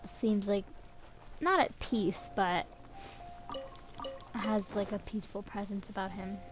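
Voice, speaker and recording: Slow-paced woman thinking aloud while gaming. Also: medium pitch, fairly even intonation. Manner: hesitant, thinking aloud, trailing off. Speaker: game streamer. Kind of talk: casual gameplay commentary. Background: faint game music.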